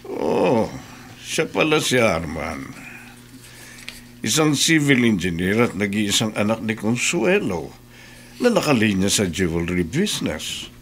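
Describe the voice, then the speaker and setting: Stern crook in a radio drama, low voice that swings a lot, noisy hum behind. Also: male voice, very animated, unhurried, a bit bright, heavily acted. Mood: curious. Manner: warning, emphatic. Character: wary, stern male criminal accomplice. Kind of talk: Philippine radio soap opera acting.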